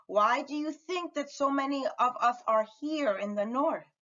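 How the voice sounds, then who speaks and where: A woman reading a storybook, voicing a tender, quietly brave old grandmother. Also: sits high, measured pace, highs cut off, voice pushed up. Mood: tender, matter-of-fact.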